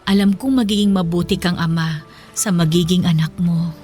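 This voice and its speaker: A woman's voice: on the low side, steady tone, normal speed, lightly acted. Earnest, long-suffering mother, played by a woman. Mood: gentle, reflective. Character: long-suffering, principled mother, hurt but forgiving. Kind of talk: Filipino radio drama acting. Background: music.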